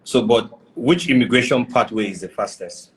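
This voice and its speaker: A man's voice: medium pitch, moderate pace, low-quality recording. A man hosting an interview, asking pointed questions with a playful, prodding manner.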